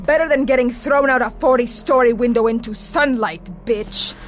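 A woman's voice: a little high, normal speed, a little hiss or hum, natural voice. A woman playing a vicious, bitter, murderous vampire in a video game cutscene, scornful and venomous.

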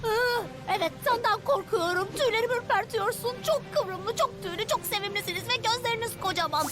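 A woman's voice: very high voice, fast talker. A woman playing a nervous, boastful young boy hero in a Turkish-dubbed action cartoon, full of jittery bravado. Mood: panicky. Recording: loud music over the voice.

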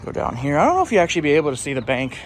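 A man's voice: a little high, huge pitch swings, rapid-fire. A man giving casual, off-the-cuff walking-tour commentary as a vlogger, with a dry, self-deprecating sarcasm. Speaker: urban exploration vlogger. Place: outdoors, walking around a building exterior. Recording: outdoor ambience with traffic noise. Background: passing cars, outdoor urban ambience.

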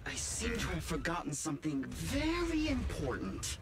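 A woman's voice: on the low side, sing-song, normal speed. A woman voicing an eager, scatterbrained young worker in an anime game. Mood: puzzled. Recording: music behind the voice.

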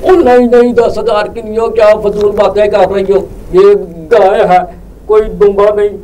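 Man playing a high-voiced indignant puppet seller. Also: level tone, normal speed, mellow, lightly acted. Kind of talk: comic children's puppet show. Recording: distant miking with faint noise.

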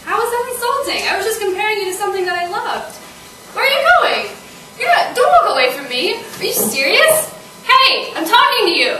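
A woman performing a comedic stage monologue as an anxious, volatile first date, halting and stammering. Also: a little high, lively intonation, a bit bright. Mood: outraged. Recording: noisy, echoey stage, distant mic.

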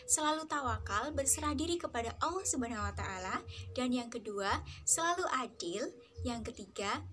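A young woman teaching a lesson to primary school children, slow and careful, with small fillers. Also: fairly high pitch, fairly bright tone, slight background noise. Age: young adult. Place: dark room, seated before a backdrop.

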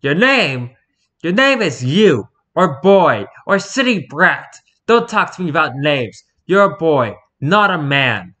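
Man playing nomad captor, domineering, commanding, forceful bright delivery, audiobook villain. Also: fairly high pitch, animated, moderate pace, voice pushed up. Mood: domineering, scornful. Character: gruff domineering adult male nomad captor.

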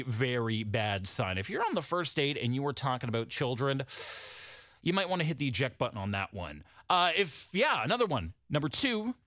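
A man hosts morning radio with a bright, forceful voice that swings up and down in pitch. He leans on key words and repeats them for emphasis. The sound is close and dry, straight into a studio microphone. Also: no echo. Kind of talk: upbeat commercial radio DJ patter. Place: small radio studio indoors. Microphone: close studio broadcast microphone.

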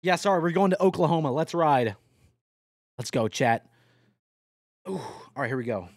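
Young male streamer, high and lively, casual and profane, talking to chat over a truck game, dry close mic. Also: normal speed, dry recording. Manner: excitable, swears often, yelps and laughs; addresses chat directly. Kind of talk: casual live-stream chatter while playing a truck driving game.

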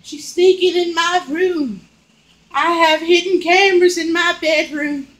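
A woman playing a bewildered little old lady who frets in plaintive, drawn-out questions in a comic skit. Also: extremely high pitch, fairly even intonation. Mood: fretful. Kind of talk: comic household argument skit.

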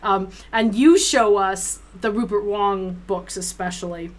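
A middle-aged woman interviewing casually as a co-host, talking in an animated, effusive way. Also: mid-range voice, measured pace. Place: small room at home, indoors.

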